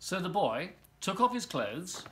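Middle-aged man, children's story reader: bright, forceful tone, very lively intonation. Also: high-pitched, unhurried, a little hiss or hum. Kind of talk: animated children's storybook reading. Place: living room at home, indoors.

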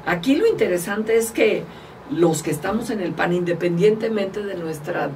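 A woman's voice: on the low side, lively intonation, normal speed, darkish tone, recorded from a distance, slight background noise. A woman from Mexico, a guest politician, chatting casually and animatedly in an interview, often laughing as she tells stories. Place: small studio, indoors. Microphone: table mic, far from speaker.

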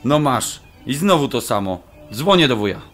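A medium-pitched man's voice as an engaged grown son in a radio play, casual and conciliatory, with a trace of exasperation. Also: sing-song, moderate pace, fairly bright tone. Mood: exasperated, placating. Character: placating adult son, engaged, mildly exasperated. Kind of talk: radio drama acting.

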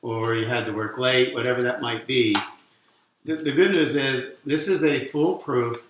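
Middle-aged man, home cooking-show co-host, mid-pitched, explanatory and jokey, echoey kitchen with faint hiss. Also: steady tone, moderate pace. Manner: explanatory, jokey asides while working with his hands. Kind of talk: casual cooking demonstration. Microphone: camera mic across the kitchen.

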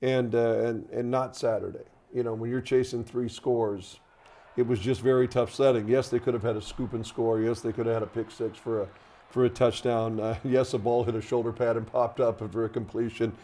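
An older man discusses sports as a play-by-play commentator in a TV studio. His voice sits at a middle pitch with a soft, mellow tone. He talks conversationally, doubling back on words as he builds a point. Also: level tone, brisk.

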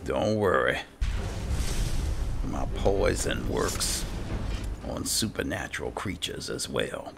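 A man giving dry, unhurried roleplay commentary over a video game, as if talking to himself. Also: very deep voice, animated, creaky. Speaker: gaming channel host. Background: game music.

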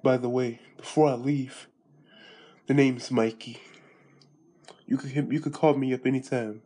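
Laid-back low-voiced recruiter guy, a man putting him on, soft and a bit husky. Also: steady tone, unhurried. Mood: easygoing. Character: calm male gang recruiter, smooth and cool.

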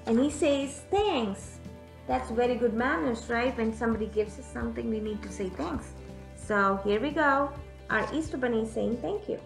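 A young woman reading a picture book aloud to young children in an expressive, teacherly way, pausing to put questions to them. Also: on the high side, creaky. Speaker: children's storyteller. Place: room at home, indoors. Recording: echoey room, music in the background.

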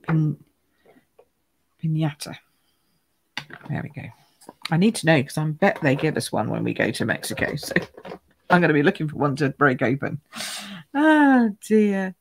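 A woman with a croaky voice, chatting through a live card-making demonstration at her craft table, greeting viewers by name as she stamps and colours. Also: low-pitched, animated, normal speed, pauses often, background noise. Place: home craft room.

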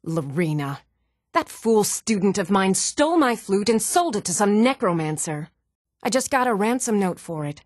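A woman's voice: mid-range voice, sing-song, moderate pace, dry recording, clean studio sound. A woman voice-acting a spirited, blunt female bard in scripted video game dialogue. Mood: exasperated.

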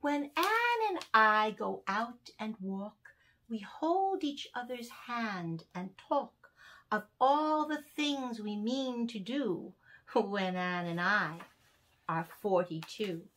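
A woman in her sixties reading children's poems aloud as a storyteller, with deliberate, animated phrasing and dramatic pauses. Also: on the high side. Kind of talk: expressive children's poetry reading. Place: small furnished room indoors.

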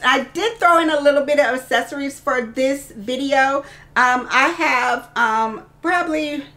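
A woman's voice: on the high side, fairly bright tone. A woman in her thirties presenting products in a casual, chatty way, addressing her viewers directly like a fashion vlogger. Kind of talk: casual product showcase to camera. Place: small indoor room lined with clothes and bag shelves.

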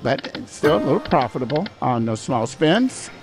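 An older man with a hoarse, raspy voice, chatting casually to viewers while playing slots in a casino. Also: mid-range voice, sing-song, normal speed. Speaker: slot machine vlogger. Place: busy casino floor. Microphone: handheld microphone in front of him. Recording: casino slot machine music behind. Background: slot machine music and sounds.

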